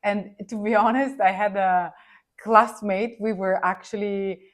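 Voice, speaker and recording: Woman, from Milan, Italian accent, podcast guest, reflective, searching for words, middle pitch. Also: steady tone, a little slow. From Milan, Italy. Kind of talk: casual interview chat.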